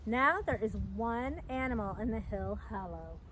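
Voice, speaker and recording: A middle-aged woman reads a picture book aloud to young children in an expressive, sing-song storytelling manner with chatty asides. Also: a little high, normal speed, rough recording. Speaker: park educator hosting a children's storytime. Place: outdoors by a fence at a trailhead. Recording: outdoor recording with background noise.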